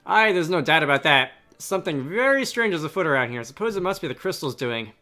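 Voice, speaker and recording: Guy doing a folksy easygoing game scholar, hopeful and chatty, bright voice. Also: on the high side, sing-song, fast, soft background music, pitched above the performer's own voice. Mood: puzzled.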